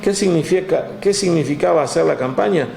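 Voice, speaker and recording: Argentine man with a gravelly voice. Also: on the high side, brisk, mellow, reverberant. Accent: Argentine Spanish. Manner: frequent "este" fillers, reads out figures. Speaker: guest storyteller. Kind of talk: conversational history talk.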